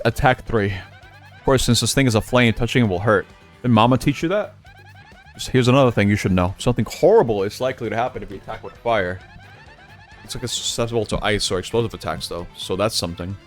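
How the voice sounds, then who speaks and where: A man's voice: fairly low pitch, brisk, faint music behind. A man from Puerto Rico whose first language is Spanish, casually commenting while playing a game and thinking aloud. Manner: relaxed, chatty, thinking aloud. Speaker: streamer.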